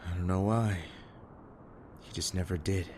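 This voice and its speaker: A man acting in an audio drama as a bitter, wounded, foul-mouthed survivor with a halting, raw delivery. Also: sits low, fairly even intonation, dark tone, slight background noise. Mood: halting, pained.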